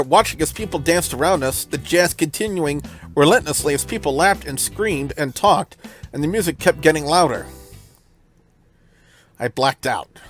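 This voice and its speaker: A man hosting a let's play, reading visual novel narration aloud in a dramatic storytelling style. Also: a little high. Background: music.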